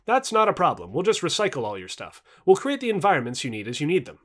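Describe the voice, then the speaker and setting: A man's voice: fairly high pitch, huge pitch swings, fast. A man voicing an earnest, reassuring male artificial intelligence from the future in a dialogue reading.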